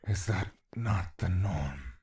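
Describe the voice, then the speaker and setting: A man's voice: low-pitched, animated, slow pace, darkish tone, slightly rough, full character voice. A man playing a prince's wry, unimpressed confidant in an audio drama, with dry, teasing delivery.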